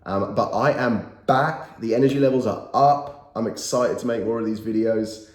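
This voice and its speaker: A man's voice: medium pitch, moderate pace. A man in his thirties, nasal from a cold, giving earnest and emphatic motivational talk to camera and repeating his key phrases. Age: 30s. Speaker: motivational YouTuber. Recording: roomy echo.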